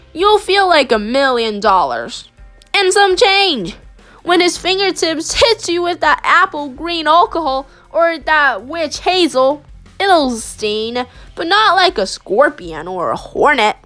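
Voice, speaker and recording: A woman reading a children's picture book aloud in an expressive, animated way, with dramatic emphasis on key phrases. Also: high-pitched. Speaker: storybook reader.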